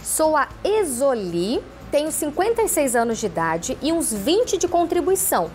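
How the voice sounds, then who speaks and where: A woman TV host reading out viewer questions in the first person, in a plain, steady manner. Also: high-pitched, normal speed, faint music behind.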